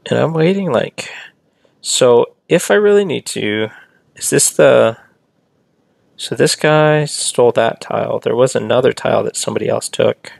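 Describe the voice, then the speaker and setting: Gravelly-voiced man chatting on stream. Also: mid-range voice, animated, a little slow, no echo. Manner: chatty, reads and answers chat, rambling asides. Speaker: game streamer. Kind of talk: casual live-stream commentary.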